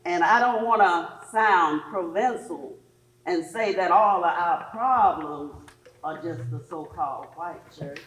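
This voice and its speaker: A woman's voice: lowish voice, a little slow, a bit bright, lo-fi sound. Woman playing a fervent preacher in echoey hall. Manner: rhetorical questions in rhythmic, building phrases. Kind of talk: staged readers theatre sermon.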